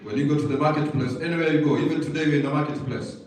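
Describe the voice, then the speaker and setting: Pria pembawa acara, suaranya bergema dan jauh. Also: male voice, on the high side, rapid-fire, a little mellow. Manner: exhorting, rhetorical questions. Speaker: event host, master of ceremonies. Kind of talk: motivational event hosting. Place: echoey event hall with audience. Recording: very echoey, distant mic, faint noise.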